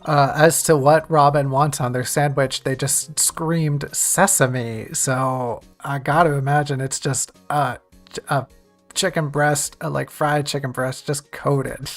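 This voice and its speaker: A game streamer talking loosely and jokingly to chat between plays. The voice has a gravelly edge at a middling pitch. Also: takes it slowly, soft background music. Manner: chatty, jokey, talks to chat. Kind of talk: casual let's-play chat.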